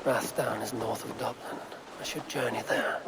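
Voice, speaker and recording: A man playing a resolute, courteous Viking warrior in a video game, speaking in a terse, measured, matter-of-fact way. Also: mid-range voice, fairly even intonation, creaky, natural voice. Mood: resolute, calm. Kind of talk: video game character dialogue.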